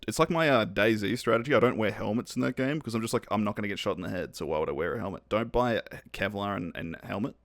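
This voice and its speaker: A man's voice: on the low side, fast talker, few pauses, fairly bright tone, no room sound. A young Australian man co-hosting a podcast, bantering with self-mocking jokes and laughs. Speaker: podcast co-host. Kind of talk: casual chat.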